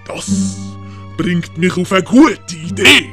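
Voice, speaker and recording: A woman's voice: lowish voice, lively intonation, slow pace, fairly bright tone, faint music behind, pitched below the performer's own voice, full character voice. A woman with a Swiss German accent voicing a gloating, scheming male villain master in an audio story. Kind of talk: hobby audiobook character acting.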